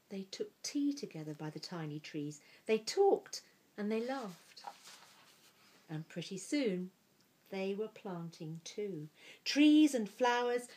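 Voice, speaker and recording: A woman storyteller narrates a picture book at a slow pace in a mid-pitched voice. Her delivery is expressive and deliberate, with some phrase endings softened into a hush. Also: poor audio. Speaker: storyteller reading aloud.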